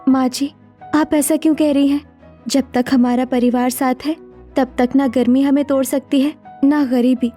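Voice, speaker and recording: Casting: actress as poor young housewife, very high voice, anxious and exclamatory, cartoon melodrama. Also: female voice, steady tone, normal speed, mellow, faint music behind, natural voice. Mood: consoling. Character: young poor daughter-in-law, anxious and dramatic. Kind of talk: Hindi cartoon story dialogue.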